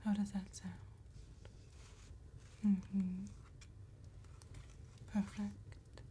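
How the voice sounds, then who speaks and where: A woman playing a seductive, predatory female vampire tattoo artist in a breathy, intimate ASMR whisper, slow, teasing and flattering. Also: mid-range voice, slight background noise. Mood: coaxing, flattering. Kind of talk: ASMR roleplay, soft spoken and whispered.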